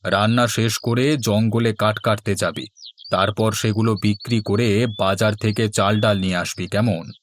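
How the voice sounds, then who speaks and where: A man's voice: lowish voice, fairly even intonation, normal speed, a little hiss or hum, close to an everyday voice. A man playing a lazy, scheming village elder brother in a cartoon fairy tale, giving orders in a bossy way. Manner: bossy, commanding.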